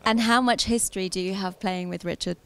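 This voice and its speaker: A woman's voice: medium pitch, steady tone, a little fast, a bit bright, clean tone. A woman, around her thirties, interviewing on camera at an event with a handheld microphone. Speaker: interviewer and presenter. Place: busy indoor poker tournament hall.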